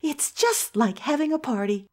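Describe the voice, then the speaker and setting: A woman playing a kindly, hospitable country mother in an amateur audio drama, gentle and placating, with a husky voice. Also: sits high, sing-song, moderate pace. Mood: cheerfully hospitable.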